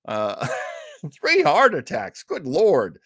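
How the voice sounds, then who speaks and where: A man's voice: sits high, huge pitch swings, drawn-out words, gravelly, a bit bright, husky, no echo, studio quality. A man hosting a livestream in a chummy, joking, rambling way as he chats casually with viewers. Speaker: livestream host. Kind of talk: casual live chat with viewers.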